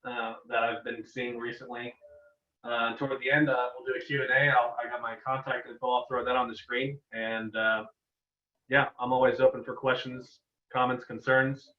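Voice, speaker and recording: A man's voice: mid-range voice, flat delivery, moderate pace, pushed and bright. A man giving an informal webinar presentation as a guest air traffic controller, casual and given to joking asides. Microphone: online video call. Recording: echoey, distant, dull webinar microphone.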